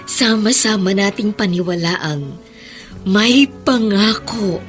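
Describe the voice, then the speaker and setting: A woman's voice: mid-range voice, slow pace, natural voice. An actress playing a middle-aged mother, firm, nurturing and earnest, in a radio drama. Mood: solemn hope. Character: loving, firm, consoling widowed mother. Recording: music under the voice.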